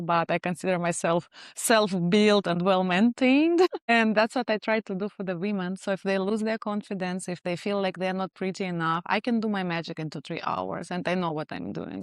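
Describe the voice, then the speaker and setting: A woman in her late thirties with a Russian accent, talking casually as an interview guest, full of fillers and quick laughs. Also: on the low side, dry recording, clean studio sound. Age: 30s. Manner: chatty, with many fillers and frequent laughs. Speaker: guest, beauty specialist.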